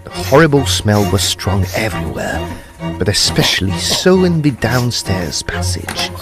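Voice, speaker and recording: A man's voice: lowish voice, very animated, measured pace, music in the background. A male actor as an uneasy, well-mannered son telling a ghost story in an audio drama. His delivery is formal and old-fashioned, with dramatic, wildly varied intonation. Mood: storytelling. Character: polite, uneasy adult son recounting a haunting.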